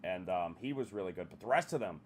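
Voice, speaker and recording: A man's voice: low voice, lively intonation, quick pace, slight background noise. A young man hosting a podcast in casual chat, blunt and profane, ranting about his opinions. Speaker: podcast host.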